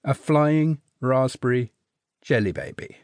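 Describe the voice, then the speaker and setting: A woman's voice: a bass voice, animated, slow, a little mellow, no echo, studio quality, full character voice. A man voicing a curious, polite girl who keeps asking puzzled questions.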